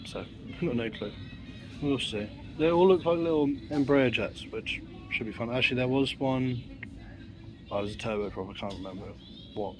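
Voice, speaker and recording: A young man with a slightly croaky voice, chatting casually as he films himself while travelling. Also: lowish voice, poor audio. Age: young adult. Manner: rambling, chatty, filming himself on the go. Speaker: travel vlogger. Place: airport terminal, then a train carriage. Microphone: phone held at arm's length. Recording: loud background noise from the phone mic.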